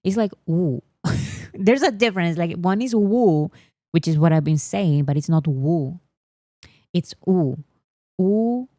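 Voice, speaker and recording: Young woman, spanish native, casual stream chat, low voice, jokey and mock-bragging, dry sound. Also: mellow, dry recording. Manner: playful, teasing, mock-boastful, laughs often. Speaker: host. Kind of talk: casual livestream chat reading comments.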